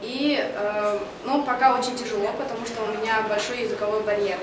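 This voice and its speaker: A woman's voice: fairly high pitch, steady tone, quick pace. A young woman from Kyrgyzstan talking frankly and with exasperation in an interview. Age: young adult. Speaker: international student. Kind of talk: casual interview. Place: stairwell indoors. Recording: distant echoey stairwell with hiss.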